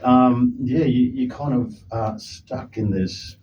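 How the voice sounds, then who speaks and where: A man hosting a radio interview, chatting casually with a relaxed, conversational "you know" style. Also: medium pitch, slow, recorded from a distance, dull audio, a little hiss or hum. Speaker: radio host. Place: radio studio.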